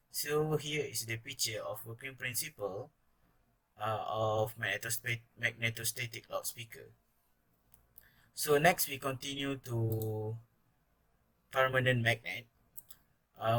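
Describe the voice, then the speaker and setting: A man's voice: medium pitch, pushed and bright, poor audio. A young man with a Malaysian accent reads a scripted class presentation as a student. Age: young adult.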